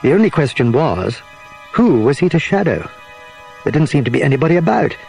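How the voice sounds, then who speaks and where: A man's voice: fairly high pitch, big pitch swings, a little fast, gravelly, a little mellow. Man narrating a children's mystery, music behind. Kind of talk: scripted children's story narration.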